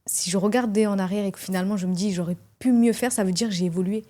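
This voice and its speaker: A woman's voice: medium pitch, fairly even intonation, rapid-fire, mellow. A woman interviewing a guest, engaged and asking probing, reflective questions. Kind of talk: conversational interview.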